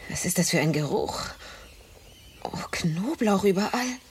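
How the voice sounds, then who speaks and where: An actress playing a weak but wry young gentlewoman patient in a radio drama, speaking in a languid manner. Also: mid-range voice, lively intonation, a little slow, slight background noise, natural voice. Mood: disgusted, weary.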